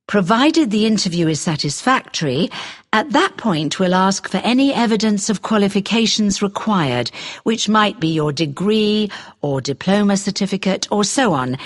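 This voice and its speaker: A woman playing a businesslike hotel recruiter, giving a scripted, politely persuasive informational talk. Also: mid-range voice. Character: brisk, businesslike female hotel group recruiter.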